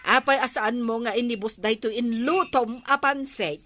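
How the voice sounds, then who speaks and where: A woman's voice: a little high, moderate pace, fairly bright tone, soft background music, lightly acted. A woman with an Ilocano accent playing a pert villager in a radio comedy drama, questioning and indignant.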